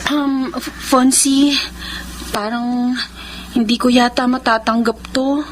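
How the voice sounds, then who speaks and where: A sweet shy girl being courted, radio drama, a bit high pitched. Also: steady tone, takes it slowly, slight background noise. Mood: shy, touched. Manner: shy, gracious. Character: modest, sweet young woman being courted. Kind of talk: Filipino radio drama acting.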